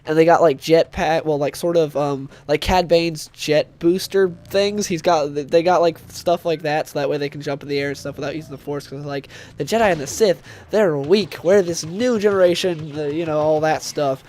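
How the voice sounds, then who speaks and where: A man's voice: a little high, moderate pace, few pauses, a little mellow. A young man with a cold talks with a stuffed-up nasal voice, rambling casually through a comic book review with many fillers and restarts.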